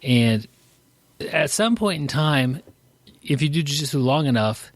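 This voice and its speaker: A man's voice: a little high, lively intonation, unhurried, no echo. A man co-hosting a podcast in casual, rambling chat, often restarting his sentences. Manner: rambling, self-interrupting. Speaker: podcast co-host.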